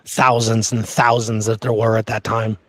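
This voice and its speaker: A man's voice: fairly low pitch, completely flat delivery, moderate pace, dry recording. Earnest man in dry, close sound. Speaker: podcast host. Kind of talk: conversational history podcast talk.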